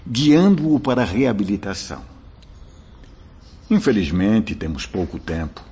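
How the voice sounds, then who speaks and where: A Brazilian man playing a kindly, solemn spirit mentor who exhorts in a measured, preacherly way in a radio drama. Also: on the low side, darkish tone, slightly rough, full character voice. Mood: consoling, solemn. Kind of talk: Portuguese radio drama acting. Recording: dull lo-fi audio.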